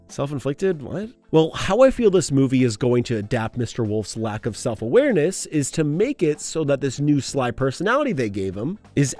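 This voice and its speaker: A man in his twenties hosting a casual commentary video, talking in an animated way with sudden jumps in pitch. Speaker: video essay host.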